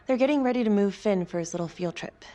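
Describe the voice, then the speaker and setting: Crime game district attorney, female actor: courteous, businesslike, rapid delivery, mid-range voice. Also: mid-range voice, lively intonation, dark tone, lightly acted. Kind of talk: cinematic crime game acting.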